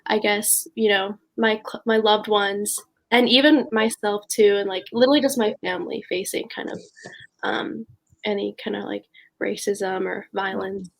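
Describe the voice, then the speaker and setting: A woman's voice: mid-range voice, steady tone, normal speed, gravelly. A sixteen-year-old girl chatting casually as a podcast guest, hesitant and leaning on the word like. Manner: hesitant, frequent "like". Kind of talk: casual personal chat.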